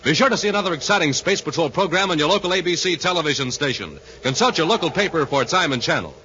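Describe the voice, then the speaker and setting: A man's voice: on the high side, animated, fast, pushed and bright. A man with an American accent doing scripted 1950s radio announcing and narration in an emphatic, enthusiastic showman style. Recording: muffled, faintly noisy 1950s radio recording.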